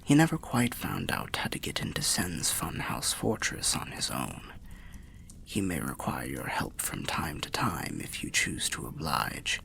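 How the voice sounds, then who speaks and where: A man voicing a weary old undead wanderer telling fantasy tales in ASMR roleplay, half whispered and gravelly, with a measured, wry, old-fashioned way of speaking. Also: sing-song, a bit bright, full character voice. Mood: wry, musing. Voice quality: breathy whispering, gravelly low voice. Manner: measured, archaic, wry storyteller.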